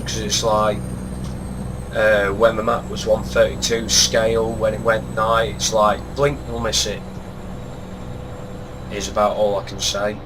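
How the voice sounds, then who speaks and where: A man with a congested voice and a sore throat, giving easygoing, laid-back commentary while he plays a game. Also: fairly low pitch. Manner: unhurried, easygoing, appreciative. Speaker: gaming channel host. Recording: steady truck and air-conditioning hum behind. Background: game engine and cab noise.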